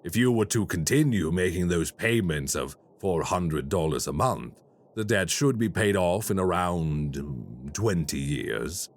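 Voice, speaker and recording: An actor playing a polite, quietly menacing male crime boss in an audio roleplay. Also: sits low, animated, full character voice. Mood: smooth menace. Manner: slow, courteous and quietly menacing.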